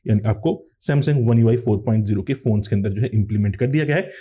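A man's voice: on the low side, quick pace, mellow. A man hosting a tech channel, explaining phone features step by step in tutorial commentary.

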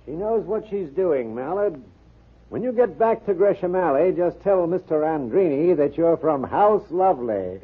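An actor playing a droll, fussy, martini-loving sidekick in a florid 1940s radio style. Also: male voice, a little high, expressive, moderate pace, husky. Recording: tinny 1940s radio recording.

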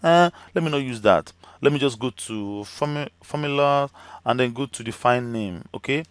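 A man's voice: medium pitch, moderate pace, smooth, no echo. A male teacher talking through a screen-recorded spreadsheet lesson, chatty, with many fillers, his voice slightly cracking. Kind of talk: screen-recorded software tutorial.

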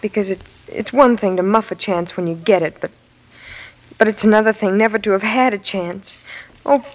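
An actress playing a devoted young American fiancée in 1940s radio drama style, earnest, halting and pleading. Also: female voice, mid-range voice, natural voice, rough recording.

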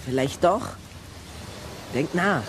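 A man's voice: on the high side, expressive, slow, noisy background. A man playing a clever, sarcastic boy detective in a children's audio drama, speaking in a pedantic, dry way. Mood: encouraging.

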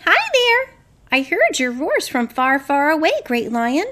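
A woman voicing a tiny, plucky mouse in a children's puppet show, squeaky, earnest and eager to help. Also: big pitch swings, unhurried, bright, forceful tone, exaggerated voice. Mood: reassuring.

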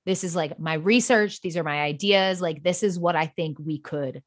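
A woman's voice: lowish voice, moderate pace. A young woman guest on a podcast, chatting casually in an effusive, slangy way with lots of "like". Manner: effusive, slangy, peppers talk with "like". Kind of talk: casual chat.

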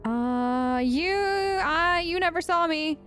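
A woman's voice: very high-pitched, slow, fairly bright tone, faint music behind. A woman doing casual, improvised role-play commentary on a game, flippant and chatty, joking in character. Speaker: let's play host.

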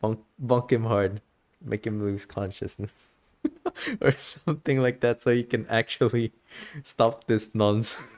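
A man's voice: fairly low pitch, fairly even intonation, a little slow. A man giving casual gameplay commentary as a streamer.

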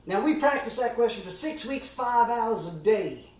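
Guy doing a middle-aged lawyer giving a big earnest courtroom speech, pretty high voice for a man, dramatic pauses, far from the mic. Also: expressive, a little mellow. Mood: forceful, accusing. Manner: deliberate, dramatic pauses, emphatic oratory. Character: earnest, impassioned male Southern defence lawyer, middle-aged. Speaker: amateur actor performing a monologue. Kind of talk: theatrical courtroom summation monologue. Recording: roomy echo, distant microphone.

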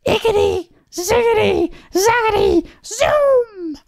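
A storyteller voicing an excitable, shrill female witch from a children's storybook. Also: slow, vocal fry, no room sound, full character voice. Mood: gleeful, spellcasting.

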